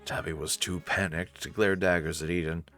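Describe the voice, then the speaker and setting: Low voiced dude doing a let's play, reading narration out loud, casual jokey comments, music behind him. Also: male voice, little pitch movement, moderate pace. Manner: joking, conversational. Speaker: let's player.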